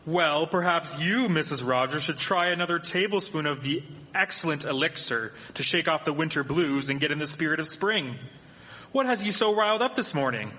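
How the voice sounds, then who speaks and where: Radio drama: male actor as polite Victorian village gentleman, high forceful voice, formal diction, theatrical, echoey hall. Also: animated, normal speed. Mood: cheerful. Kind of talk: live radio drama acting. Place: performing arts theatre stage. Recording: echoey hall, live stage performance.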